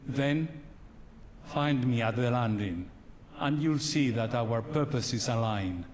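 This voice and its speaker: An actor playing a solemn guide who recounts dark legends in a grave, formal and deliberate way for a fantasy game. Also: male voice, mid-range voice, animated, a little hiss or hum. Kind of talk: dramatic fantasy game narration. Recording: long reverb, music behind.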